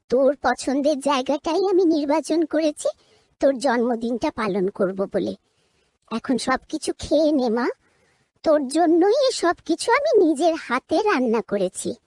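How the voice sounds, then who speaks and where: A woman's voice: high voice, darkish tone, dry recording. A woman voicing a kind, motherly older lady of the house in a cartoon story, affectionate and full of feeling. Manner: affectionate, emotional, coaxing.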